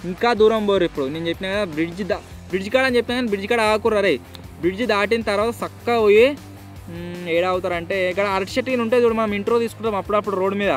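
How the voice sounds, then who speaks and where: A man's voice: sits high, racing, soft background music. A man with a Telangana Telugu accent hosting a vlog in casual, slangy, bantering chat. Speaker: video host.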